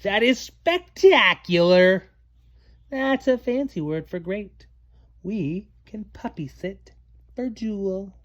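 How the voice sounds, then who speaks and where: A man voicing an excitable, posh little girl from a storybook in a theatrical, exclamatory way. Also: sits high, slow pace, lo-fi sound, voice pushed up, exaggerated voice. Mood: delighted.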